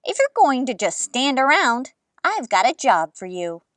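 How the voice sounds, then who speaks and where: Woman reading as lively, playful old grandma. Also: sits high, measured pace, no echo, studio quality, recorded from a distance, full character voice. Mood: playfully bossy. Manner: jokingly bossy.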